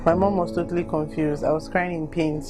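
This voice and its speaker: Nigerian lady, pretty low voice, reading stuff out and reacting, music under it. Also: steady tone, brisk. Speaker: volunteer reader reacting to written accounts. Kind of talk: reading aloud and candid spoken reaction.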